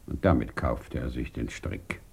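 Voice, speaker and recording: An actor in a German radio play playing a reflective, solemn man who speaks in measured, philosophical phrases. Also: rumbling low, gravelly, heavily acted. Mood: grave. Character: reflective man holding to trust in a shattered world.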